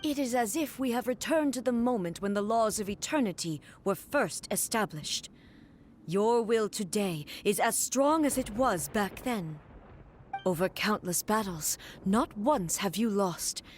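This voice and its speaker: An actress playing a regal, solemn immortal female warrior ruler in a video game, speaking in a measured, formal and grandly stern way. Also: medium pitch, animated, slight background noise, natural voice. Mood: solemn, stately. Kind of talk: dramatic video game voice acting. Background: background music.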